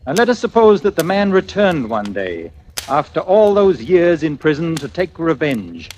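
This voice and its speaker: An actor playing a smoothly menacing, delusional killer in a 1940s radio suspense play, speaking with deliberate, insinuating theatricality. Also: male voice, on the high side, big pitch swings. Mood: insinuating menace. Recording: noisy vintage radio recording with clicks.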